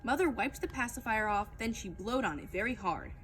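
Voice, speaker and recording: Animated woman reading a children's story. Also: on the high side, brisk, faint music behind. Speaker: storytime reader. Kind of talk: expressive children's book read-aloud.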